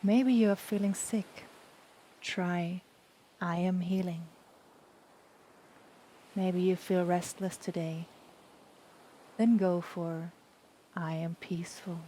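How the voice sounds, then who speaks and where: A woman guiding a yoga nidra relaxation in a soft, soothing, unhurried voice with long pauses. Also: lowish voice, poor audio. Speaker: yoga nidra meditation guide. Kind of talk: guided relaxation meditation. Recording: steady background noise, ocean waves. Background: sound of the ocean.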